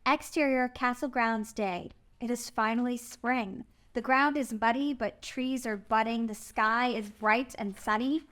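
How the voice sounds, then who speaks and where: Lady reading screenplay stage directions, kinda high voice, narrator style. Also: unhurried, hardly any pauses, a bit bright.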